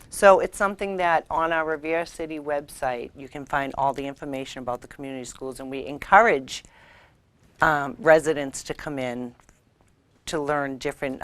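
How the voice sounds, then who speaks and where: A middle-aged woman, a school committee member, speaking earnestly as a guest in a small studio interview, often saying "you know". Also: deep voice. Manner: earnest, uses many fillers like "you know". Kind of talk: interview conversation. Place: small TV studio set at a table.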